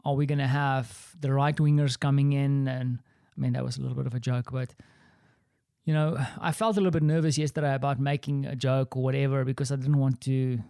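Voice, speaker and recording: A man's voice: mid-range voice, flat delivery, brisk, creaky, warm tone, clear, resonant tone, no echo, clean studio sound. A man with an Afrikaans accent hosting a solo podcast, talking in a rambling, reflective, self-deprecating way from his small office. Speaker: podcast host.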